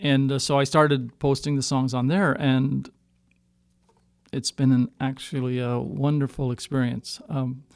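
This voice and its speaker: Clear-voiced middle-aged Canadian man, halting storyteller. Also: medium pitch, flat delivery, dry recording. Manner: halting, circling back to rephrase. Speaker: singer-songwriter guest. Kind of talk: casual storytelling in a podcast interview.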